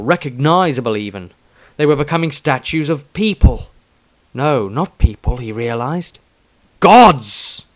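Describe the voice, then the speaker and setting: A man reading a fantasy story as a dramatic audiobook, with deliberate, suspenseful phrasing. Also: on the high side, dry recording. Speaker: author reading own story.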